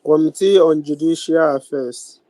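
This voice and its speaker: A man with a Ghanaian accent chairing a youth parliament sitting in a formal, deliberate, halting way on a video call. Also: fairly high pitch, warm tone, no room sound, rough recording. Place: virtual meeting over video call.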